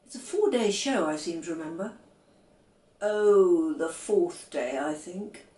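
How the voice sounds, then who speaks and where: A woman reads as an easygoing storybook father, mild and a little hesitant, gently placating his family. The delivery is unhurried, in a room with clear echo. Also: on the low side, expressive. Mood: mild, tentative. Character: fond father, mild, teasing and easygoing man.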